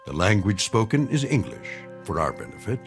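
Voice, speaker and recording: A man with a fairly low, widely moving voice delivers solemn drama narration. He is measured and portentous, with music playing beneath him. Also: wildly swooping pitch, gravelly.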